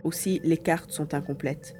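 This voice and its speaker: A woman's voice: low voice, fairly even intonation, normal speed, mellow, soft background music, lightly acted. A woman acting a hesitant, earnest young boy adventurer in an audio drama. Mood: focused. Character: hesitant young boy adventurer grieving his lost father.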